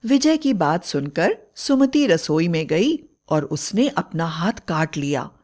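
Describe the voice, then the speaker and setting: A woman's voice: on the low side, very animated, slow, darkish tone. A woman narrating a scripted bedtime story as a storyteller.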